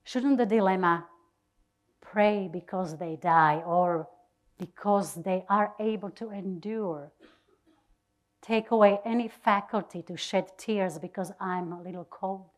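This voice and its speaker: A woman's voice: mid-range voice, slow. A woman giving a dramatic reading of a personal diary to an audience. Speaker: reader at a literary event.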